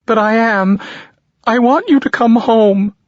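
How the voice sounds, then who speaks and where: A man voicing a middle-aged mother on the phone, crying and pleading in a choked, high voice, in an audiobook. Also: a little slow, dry recording. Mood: tearful pleading. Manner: pleading, insistent. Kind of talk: audiobook character voice. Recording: dull lo-fi audio.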